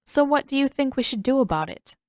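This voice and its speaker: A woman's voice: high voice, lively intonation, a little fast, no room sound, clean studio sound, voice pushed up. A woman voicing a mild, hesitant, skeptical young boy in an audiobook, with a light, tentative delivery. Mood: doubtful. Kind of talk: acted audiobook dialogue.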